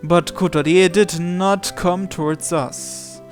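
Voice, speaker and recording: Someone reading out a visual novel story, midrange voice, pauses a bit to translate, game music under it. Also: mid-range voice, steady tone. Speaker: video game playthrough narrator. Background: visual novel music and Japanese voice acting.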